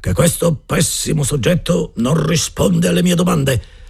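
A man voicing a pompous, bullying school director in an audiobook reading, who shouts and blusters. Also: fairly high pitch, measured pace, creaky, slightly rough, pitched above the performer's own voice, full character voice. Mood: enraged.

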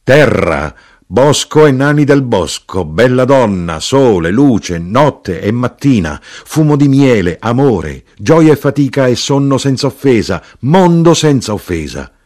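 A man's voice: medium pitch, sing-song, unhurried, darkish tone, pitched above the performer's own voice. A man voicing an exuberant knife-grinder in a storybook, chanting joyful lists of words as tavern toasts. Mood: exultant.

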